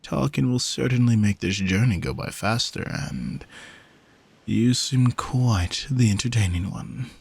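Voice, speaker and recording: A man playing an alluring sea monster posing as a vain, flirty gentleman in an audio roleplay, speaking softly and formally in a haughty, teasing way. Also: mid-range voice, a little slow, gravelly, slightly rough. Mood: coy, charming. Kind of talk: scripted audio roleplay, spoken to the listener.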